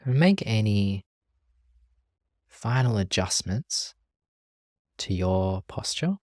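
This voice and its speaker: A meditation teacher leading a guided practice in a gentle, calm, measured way with long pauses. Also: medium pitch, no echo, studio quality.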